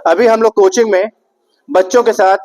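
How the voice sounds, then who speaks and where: A man's voice: high-pitched, steady tone, normal speed, darkish tone. A young man giving a public speech to an audience, rhetorical and repetitive, putting questions to the listeners. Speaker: speaker at an Independence Day event.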